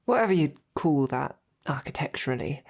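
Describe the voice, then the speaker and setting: A woman playing a devoted, self-doubting female royal knight in an intimate roleplay audio, speaking in a hesitant, informal, tender way with a low, gravelly voice. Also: lively intonation, a little fast, darkish tone, exaggerated voice. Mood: curious, gentle.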